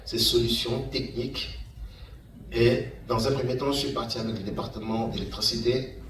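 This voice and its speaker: A middle-aged man gives an informal talk as an entrepreneur, in a halting way, repeating words for emphasis. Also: medium pitch, steady tone, fairly bright tone. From Democratic Republic of the Congo, Kananga. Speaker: guest speaker, engineer and entrepreneur. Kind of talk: informal public talk recounting a career path. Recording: echoey room, distant mic, faint noise.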